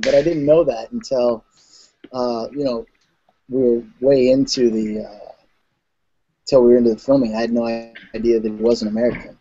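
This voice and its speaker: A man answers interview questions in a relaxed, halting way, his voice mellow and dark. The call audio is dull and lo-fi, with a faint hiss underneath. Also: medium pitch. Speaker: guest actor.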